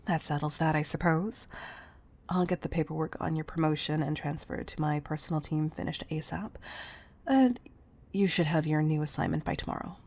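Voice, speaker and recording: A woman playing a protective, doting CEO, tough but tender, in an intimate audio roleplay, husky and breathy, often close to a whisper. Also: sits low, a little mellow. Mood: relieved, doting, firm. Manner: intimate, deliberate delivery with pet names.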